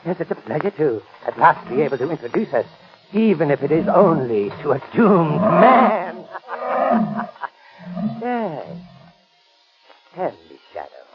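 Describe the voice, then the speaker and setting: An actor playing a deranged, theatrical animal-trainer villain in a 1930s radio drama. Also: male voice, on the high side, sing-song, measured pace, pauses often, loud music behind the voice. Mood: taunting, gloating. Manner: taunting, theatrical, cackling. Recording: dull lo-fi 1930s radio recording.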